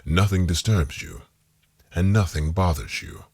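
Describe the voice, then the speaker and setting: A man guiding a hypnotic meditation, reading scripted suggestions in a calming, deliberate manner. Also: deep voice. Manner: slow-phrased, calming, deliberate, repetitive suggestion. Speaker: hypnosis guide.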